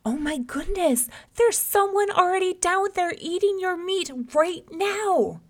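A woman's voice: a little high, animated, normal speed, darkish tone, pitched above the performer's own voice. A woman voicing a wily male trickster rabbit in a storybook tale, pleading and coaxing. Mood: alarmed, goading. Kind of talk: storybook character voice.

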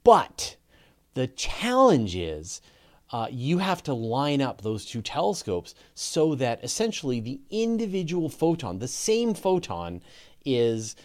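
A man's voice: medium pitch, wildly swooping pitch, slow pace. A man with a Canadian accent hosting a livestream question show, explaining ideas step by step in a conversational way. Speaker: host of a space podcast. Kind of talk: livestream Q&A, explaining astronomy to viewers.

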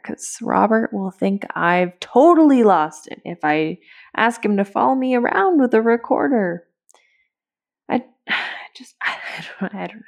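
A woman's voice: mid-range voice, gravelly. An actress playing an anxious young woman in a found-footage audio drama, speaking hesitantly with sighs and whispers. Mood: musing. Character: anxious, searching young woman confiding to a recorder.

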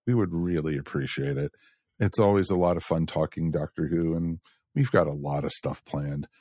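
A man's voice: deep voice, a little mellow, no echo, clean studio sound. A man hosting a podcast, chatting casually and addressing listeners in a folksy way. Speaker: podcast host.

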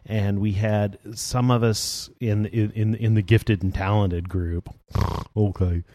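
A man's voice: on the low side, little pitch movement, slow pace, warm tone. A man in his forties hosting a casual chat, telling long rambling stories. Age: 40s. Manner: rambling storyteller. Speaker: podcast host.

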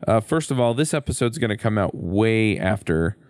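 A man's voice: on the low side, lively intonation, normal speed, no echo. A man hosting a podcast, chatting in an informal, conversational way. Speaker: podcast host. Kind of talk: casual podcast chat.

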